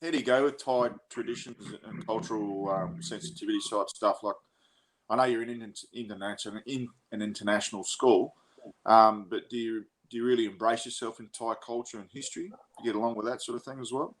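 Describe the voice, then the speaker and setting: A man's voice: fairly low pitch, steady tone, a little fast, poor audio. A middle-aged man hosting a podcast, asking rambling questions and joking around. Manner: jokey, chatty, rambling questions. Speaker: podcast host. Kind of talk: casual interview chat.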